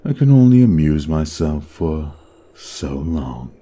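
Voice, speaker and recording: A man's voice: rumbling low, sing-song, slow pace, mellow, full character voice. A man playing a lustful, teasing demon prince of hell in an audio roleplay, with a drawling, flirtatious delivery. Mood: playful, lascivious.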